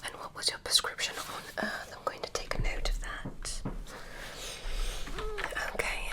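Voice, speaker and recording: A woman voicing a gentle, curious female alien doctor, speaking in a soft, polite, inquisitive whisper close to the mic. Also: natural voice, rough recording. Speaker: ASMR creator. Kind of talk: whispered ASMR roleplay. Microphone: close-up ASMR mic.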